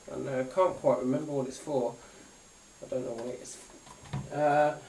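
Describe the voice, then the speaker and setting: Male British gadget reviewer, hesitant and off-the-cuff, slightly high voice, picked up from a distance with faint noise. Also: darkish tone, reverberant. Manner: hesitant, rambling, unscripted. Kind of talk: casual product demonstration.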